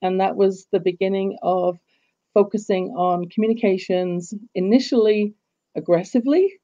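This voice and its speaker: A woman from England with an English accent, a guest expert telling her story in an interview, measured and reflective. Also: medium pitch, steady tone, mellow, highs cut off. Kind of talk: interview answers.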